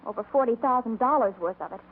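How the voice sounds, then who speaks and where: A woman's voice: fairly high pitch, fast talker, lightly acted. A woman playing a worried, earnest American frontier wife in a 1950s radio western, pleading as she speaks. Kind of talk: 1950s radio drama acting. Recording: muffled, noisy old radio recording.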